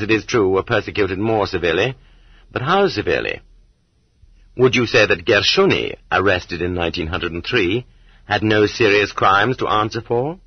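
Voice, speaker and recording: Man, low raspy voice, audiobook narration, measured, sardonic emphasis, dull lo-fi recording. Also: dry recording. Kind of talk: scripted narration of non-fiction audiobook.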